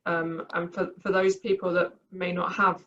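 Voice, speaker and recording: A woman's voice: on the low side, level tone, moderate pace, fairly bright tone, muffled recording. A woman interviewing in a casual, conversational style.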